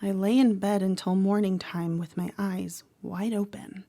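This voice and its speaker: A woman playing a troubled, protective teenage girl who narrates a horror audio drama in a measured, dramatic way. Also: mid-range voice, steady tone, noisy background, natural voice. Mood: hushed, disturbed. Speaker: actor narrating. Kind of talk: first-person horror audio drama monologue.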